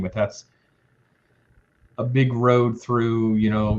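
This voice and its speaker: A male host with a lowish voice chats easily with his guest, filling gaps with uhs and likes. The sound is dull and lo-fi with a faint hiss underneath. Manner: relaxed, rambling with fillers. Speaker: podcast host. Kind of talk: casual interview chat.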